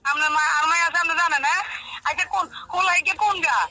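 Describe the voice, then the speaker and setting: Very high, rapid-fire Bangladeshi woman on phone. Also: heavy background noise. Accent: Bangladeshi regional. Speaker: call recipient. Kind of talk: casual phone conversation. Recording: phone call with music behind.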